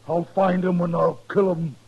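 An actor playing a hot-headed, jilted cowboy tough with an American Western drawl and a rough, belligerent way of talking, in a 1950s radio western. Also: male voice, sits high, little pitch movement, full character voice. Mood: bitter rage. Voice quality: gravelly, rough. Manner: slow, belligerent, folksy bad grammar. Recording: dull 1950s radio recording.

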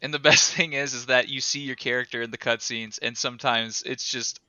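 A man co-hosting a podcast in casual chat, rambling and animated, laughing often. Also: medium pitch, fairly quick, dry recording. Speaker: podcast co-host.

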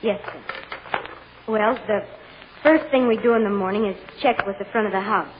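A woman's voice: medium pitch, normal speed, lightly acted. A woman playing a plain-spoken, fussy chambermaid giving hesitant, literal-minded testimony in 1940s radio drama style. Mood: earnest, hesitant. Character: plain-spoken, fussy working-class chambermaid on the witness stand. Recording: dull, noisy old-time radio recording.

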